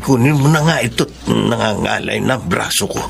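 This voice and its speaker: Radio drama: grumbling middle-aged Filipino dad, very gravelly, swinging intonation. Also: male voice, on the low side, expressive, normal speed, slight background noise. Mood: mildly grumbling. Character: tired, grumbling middle-aged father of the family.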